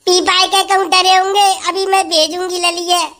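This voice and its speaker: A woman's voice: very high-pitched, flat delivery, normal speed, fairly bright tone. A woman voicing a hungry, fed-up village wife who grumbles and scolds in a comic sketch. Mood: complaining. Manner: complaining, scolding, rustic chatter.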